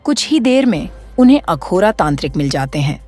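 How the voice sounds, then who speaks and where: A woman narrates a horror cartoon story with a lively, animated rise and fall in her pitch. Her delivery stays steady, with faint music in the background. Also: a little fast.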